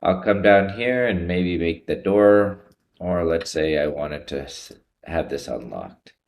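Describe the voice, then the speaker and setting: A man guides viewers through software. His delivery is informal and explanatory, taking things one step at a time as a tutorial presenter. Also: low-pitched, takes it slowly, creaky.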